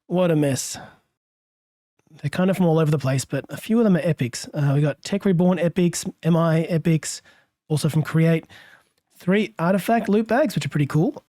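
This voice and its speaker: A hoarse man, a little under the weather, casually musing aloud as he narrates his game session and chuckling often. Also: a little high, normal speed, dark tone, dry recording, clean studio sound. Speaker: let's play host. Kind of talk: gameplay commentary.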